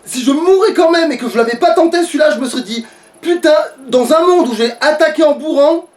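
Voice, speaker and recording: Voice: high voice, expressive, brisk, darkish tone. Sweary gamer grumbling from off the mic. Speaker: let's play gamer. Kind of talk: casual gaming commentary. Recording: distant mic with background noise.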